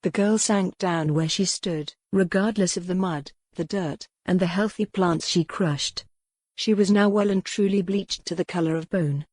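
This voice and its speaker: Woman audiobook narrator, mellow tone, dry close sound. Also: on the low side, normal speed, dry recording. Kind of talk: scripted narration.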